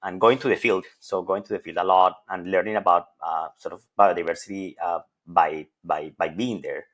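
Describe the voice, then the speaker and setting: A man's voice: low voice, steady tone, moderate pace, fairly bright tone. A middle-aged man from Colombia, a professor, answering interview questions thoughtfully with frequent hesitations and repeated words. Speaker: associate professor of plant evolution.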